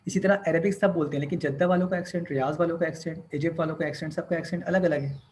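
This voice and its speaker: Man, classroom teacher, slow deliberate dictation, fairly high voice, faint background noise. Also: steady tone, darkish tone. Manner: mixes English dictation with Hindi-Urdu explanation, slow and deliberate when dictating. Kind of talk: classroom lecture, dictating notes.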